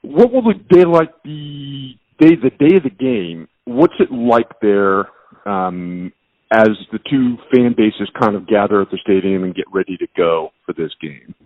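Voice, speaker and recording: A man's voice: on the low side, measured pace, no room sound, narrow, tinny audio. A man hosting a sports show, putting questions to a guest in a conversational interview style.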